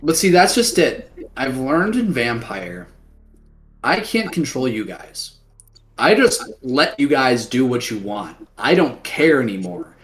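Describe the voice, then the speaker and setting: A man's voice: mid-range voice, big pitch swings, unhurried. A man running a tabletop game, chatting casually with his players after a session, joking and swearing freely. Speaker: game master. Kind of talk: casual post-game chat.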